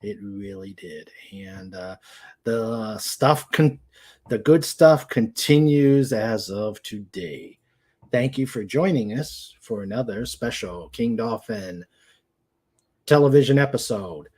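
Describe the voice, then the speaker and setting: A man hosting a casual livestream chat, speaking haltingly as he searches for his words. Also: fairly low pitch, animated, a little mellow. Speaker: host. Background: dog noises and clicks.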